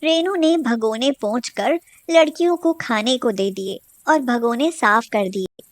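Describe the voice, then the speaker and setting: A woman's voice: fairly high pitch, animated, a little slow, dry recording. A woman reading a scripted story as a storyteller. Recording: music behind the voice.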